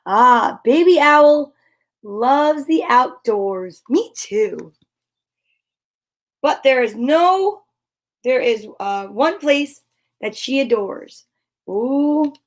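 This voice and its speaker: A woman with a fairly high voice reads picture books aloud for a children's story time. She takes it very slowly, with expressive exclamations and casual, chatty asides to whoever is watching. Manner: expressive, gushing exclamations and gasps; chatty, self-interrupting asides to viewers.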